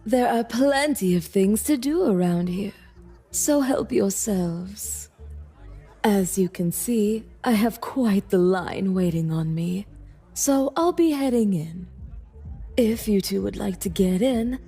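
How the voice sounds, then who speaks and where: A woman acts as a seductive demon hostess who rules her city with teasing confidence. Her voice is mellow and breathy, and she takes her time, savoring words like a game villainess at ease. Faint music plays underneath. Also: mid-range voice, expressive, takes it slowly, heavily acted. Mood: breezy. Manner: teasing, self-assured, leisurely and sultry. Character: flirtatious demon woman, boss of a lavish lust city. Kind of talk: voice acting for a game mod cutscene.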